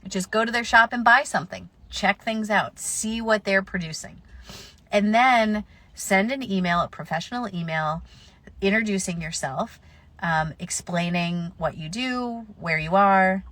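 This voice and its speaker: A woman's voice: medium pitch, a bit bright, no echo. A woman florist with a slight cold answering viewer questions in a live stream, offering practical advice in a conversational way with plenty of fillers.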